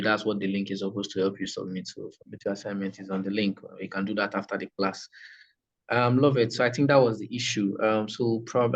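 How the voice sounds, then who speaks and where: A man teaching a digital marketing class over a video call, talking in a relaxed, chatty way with many fillers and restarts. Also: on the low side. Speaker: online class instructor. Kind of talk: casual online teaching. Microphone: computer mic on a video call.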